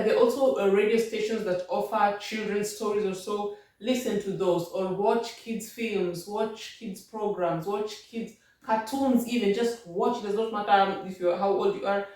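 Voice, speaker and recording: A woman's voice: mid-range voice, darkish tone, recorded from a distance. A Kenyan woman vlogging language-learning tips at home, repeating and rephrasing as she patiently explains. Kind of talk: casual advice talk. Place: home room. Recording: very echoey room, voice off-mic.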